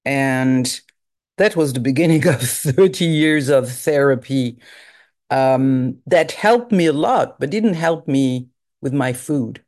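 French-accented speaker, fifties, unhurried pace, soft-spoken, candid personal testimony. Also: medium pitch. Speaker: recovery group member.

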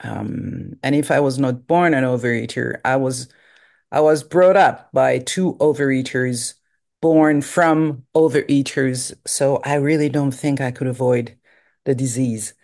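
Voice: medium pitch, unhurried, gravelly, darkish tone. A speaker in their fifties with a French accent, a native French speaker, giving a candid and reflective personal testimony. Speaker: recovery group member.

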